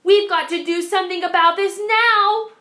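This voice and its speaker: Woman voicing a worried little girl, squeaky and alarmed, storybook read-aloud, echoey room. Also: steady tone, moderate pace, pushed and bright. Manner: high, alarmed and fretful. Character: anxious young schoolgirl, a worrier.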